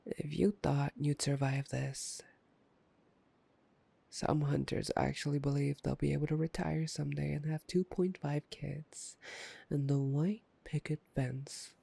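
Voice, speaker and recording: A man playing a taunting, playful, predatory vampire in an intimate ASMR roleplay, teasing and drawling with soft menace. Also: on the high side, gravelly, breathy edge, full character voice. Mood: musing, wry.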